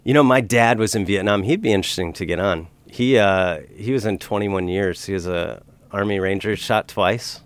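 A man's voice: fairly low pitch, normal speed, clear, resonant tone, no room sound. A middle-aged man in casual podcast talk, earnest and emphatic, pressing his points with conviction.

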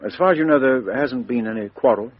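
An actor playing a methodical middle-aged police inspector, asking businesslike questions in a 1950s radio drama. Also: male voice, medium pitch, fast, breathy edge, dry recording, lightly acted. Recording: dull 1950s radio recording.

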